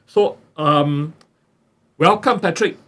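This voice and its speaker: A man hosting a podcast, introducing his guest haltingly with many restarts. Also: a little high, fairly bright tone. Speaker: podcast host. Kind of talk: casual interview introduction.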